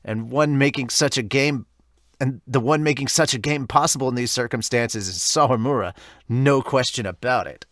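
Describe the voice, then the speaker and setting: A man voicing earnest high school baseball players, reading manga dub dialogue in a plain manner. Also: normal speed, a bit bright, no room sound, studio quality, lightly acted. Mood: impressed.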